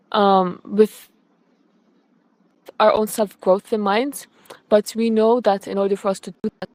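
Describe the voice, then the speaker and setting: A woman's voice: mid-range voice, a little mellow, no echo, poor audio. A young woman from Egypt who grew up in the UAE, a university student giving friendly, thoughtful answers as an interview guest. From Egypt, raised in the UAE. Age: young adult. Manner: friendly, thoughtful, loose with fillers.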